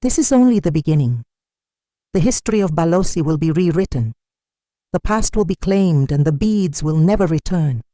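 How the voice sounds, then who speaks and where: A narrator voicing a sinister cloaked stranger in a folktale, taunting and cryptic, making grand pronouncements with eerie calm. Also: medium pitch, normal speed, dry recording, studio quality, lightly acted. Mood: ominous. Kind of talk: dramatic folktale storytelling.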